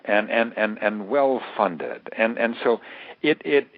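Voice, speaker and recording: A man's voice: on the low side, no echo. American man on a thin phone line. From United States. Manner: halting, repeats words as he builds points. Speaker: interview guest, author and terrorism expert. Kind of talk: interview answers, analytical explanation. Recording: narrowband phone-like radio interview line.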